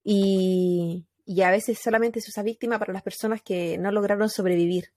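Woman, Chilean accent, casual storytelling, animated, fillers, dry recording. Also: medium pitch, moderate pace, dry recording. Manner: animated, laughs, many fillers. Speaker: podcast host telling a true crime case.

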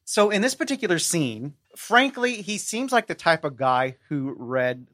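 A man as a podcast guest, giving opinionated, emphatic book commentary with deliberate pauses. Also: a little high, very animated, bright, forceful tone, no room sound, clean studio sound.

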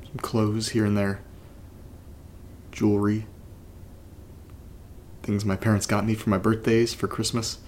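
A man playing an uneasy, reluctant character in a horror audio drama, speaking haltingly in a naturalistic monologue, as if muttering to himself. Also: fairly low pitch, flat delivery, a little mellow, slight background noise, close to an everyday voice. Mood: uneasy, subdued. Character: uneasy, reluctant man exploring a creepy place and reading strange letters. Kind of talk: naturalistic found-recording audio drama monologue, partly reading a letter aloud.

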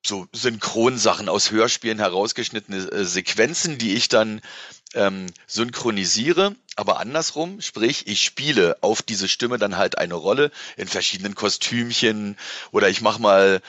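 A man's voice: on the low side, normal speed, fairly bright tone, no room sound, muffled recording. A middle-aged man chatting casually as an interview guest, explaining things in a colloquial, rambling way. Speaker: podcast guest, musician and entertainer.

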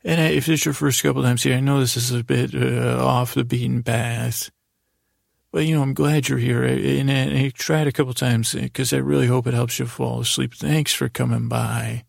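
A man hosting a bedtime podcast with a gravelly, husky voice, rambling and halting in a soothing, unhurried, self-deprecating way. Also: medium pitch, fairly even intonation, dry recording, clean studio sound. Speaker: podcast host. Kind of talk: meandering bedtime podcast monologue.

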